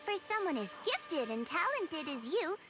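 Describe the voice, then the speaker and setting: An actress voicing a bubbly, gushing, chatty young female officer in a video game, full of flattery. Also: very high-pitched, unhurried, exaggerated voice. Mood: bubbly, flattering. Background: game music.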